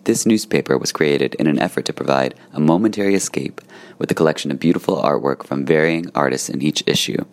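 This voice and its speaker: Super deep voiced guy reading an ad spot for the podcast, smooth and even. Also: fast. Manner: smooth, even, read from script. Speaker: podcast host reading a promo. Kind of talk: scripted promo read.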